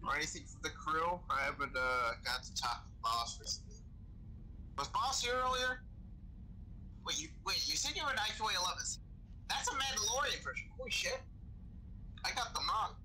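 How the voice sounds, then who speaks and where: A man as a bright, sharp-voiced chatty sci-fi crewman. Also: sits high, expressive, normal speed, long pauses, exaggerated voice, poor audio. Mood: curious. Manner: casual, sweary. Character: chatty, sweary sci-fi crewman who knows weapons. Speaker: Star Wars roleplay player. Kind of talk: improvised in-character gaming chat. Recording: gaming voice chat with background noise.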